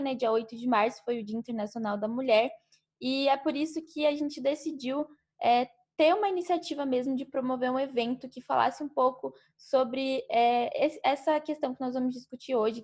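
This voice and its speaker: Woman host on a video call doing welcomes and intros, voice a bit on the high side. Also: darkish tone. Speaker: event host introducing guest speakers. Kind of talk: welcome and introduction at an online panel.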